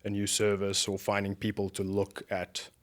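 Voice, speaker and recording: A man from South Africa speaks in a fairly low voice with a South African accent that has softened over the years. He explains his research work at length in a relaxed, thoughtful way, with plenty of fillers. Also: moderate pace. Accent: South African, softened by years in America. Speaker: guest, beef research director. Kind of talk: casual podcast interview.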